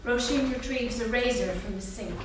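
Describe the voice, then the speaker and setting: A woman's voice: fairly high pitch, moderate pace. A woman reading stage directions as scripted narration at a staged reading. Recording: echoey theatre, distant mic, noisy.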